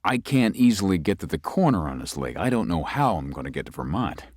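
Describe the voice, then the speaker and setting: A man's voice: low voice, sing-song, no room sound, studio quality. An actor playing a weary young male lawyer with a broken leg, narrating reflectively in an audio drama. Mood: wry. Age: young adult. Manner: reflective, measured.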